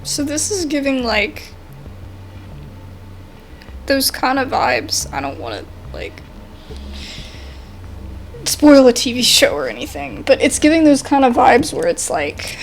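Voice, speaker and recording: A young woman giving casual game commentary and reading the text aloud, expressive and informal. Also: high-pitched, slow pace, gravelly, pauses often, a little hiss or hum. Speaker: gaming video host.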